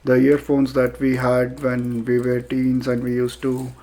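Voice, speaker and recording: A man with an Indian accent narrating a casual unboxing review, speaking haltingly with frequent filler pauses. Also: medium pitch, monotone, warm tone. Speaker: product reviewer.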